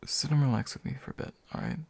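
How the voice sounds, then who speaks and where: A man playing a courteous, gentle demon in a soft ASMR roleplay, politely formal and a little hesitant. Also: medium pitch, huge pitch swings, fast talker, vocal fry, breathy edge, dry recording, heavily acted. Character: courteous, gentle male demon offering comfort to his summoner. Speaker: ASMR voice actor.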